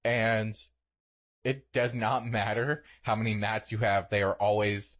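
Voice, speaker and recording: A man's voice: lowish voice, flat delivery, measured pace, fairly bright tone. A young man co-hosting a podcast, telling stories in casual comedic chat with exaggeration and casual swearing. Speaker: podcast co-host.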